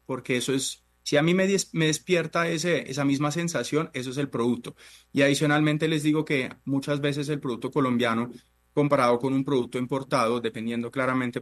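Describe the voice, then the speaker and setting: A middle-aged chef from Italy, Italian by birth, answers questions slowly and haltingly. He keeps pausing on fillers and restarting his sentences as he explains his cooking. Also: mid-range voice. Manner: hesitant, many fillers and restarts. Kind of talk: interview answers.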